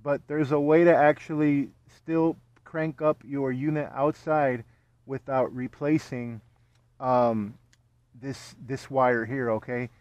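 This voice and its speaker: A man's voice: steady tone, slow pace, darkish tone, dry recording. A man working as an HVAC technician, explaining a repair step by step and checking in with "okay?" after each point, recorded on a body-worn camera in an attic and then in a backyard. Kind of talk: hands-on repair tutorial. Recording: dull, dry, low-fidelity sound.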